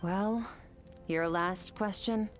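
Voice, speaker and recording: A woman playing an aloof, powerful female warrior mentor in an anime game, speaking in a cool, measured, detached way. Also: medium pitch, soft background music, lightly acted. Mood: detached, matter-of-fact. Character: aloof, powerful female swordmaster from another world, cool temper. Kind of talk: anime game dialogue acting.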